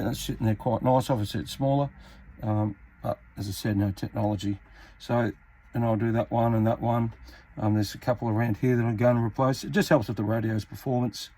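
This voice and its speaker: A man giving an informal, matter-of-fact repair tutorial, pausing mid-sentence as he works. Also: mid-range voice, dark tone, low-quality recording. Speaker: hobbyist radio repairer, video host. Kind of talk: casual hands-on repair tutorial.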